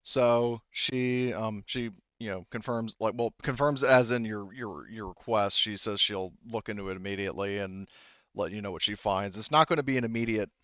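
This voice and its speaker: A man with a smooth, lowish voice runs a tabletop roleplaying game. He explains the situation haltingly, rewording as he goes. The recording is dry and a little dull. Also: fairly bright tone, no echo. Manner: halting, explanatory, circles back to rephrase. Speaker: game master.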